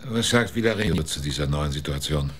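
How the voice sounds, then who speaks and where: An actor playing a laconic private detective in a radio drama, giving dry, evasive answers. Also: male voice, extremely low pitch, expressive, brisk, a little hiss or hum. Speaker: private investigator.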